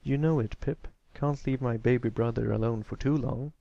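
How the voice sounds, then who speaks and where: A man voicing a warm, easygoing adult stallion, an affectionate and reassuring big brother in a storybook reading. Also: mid-range voice, normal speed, gravelly, dry recording, muffled recording, close to an everyday voice.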